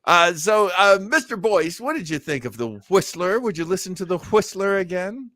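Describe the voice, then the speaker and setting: A man's voice: high voice, expressive, moderate pace, sharp tone, no room sound, studio quality. A man hosting a show in casual, chatty commentary. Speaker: host of an old-time radio show podcast.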